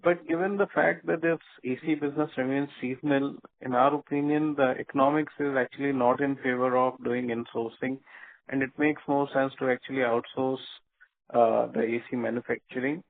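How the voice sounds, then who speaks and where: A man with an Indian accent, a company executive answering questions on an earnings call in a halting, hesitant way with frequent filled pauses. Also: mid-range voice, vocal fry. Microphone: telephone conference line. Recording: narrow, tinny phone conference line.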